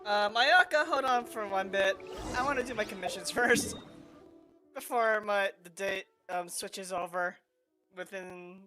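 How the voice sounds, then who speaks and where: A woman's voice: medium pitch, measured pace, fairly bright tone. A woman talking casually as she live-streams a video game. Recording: faint game music behind.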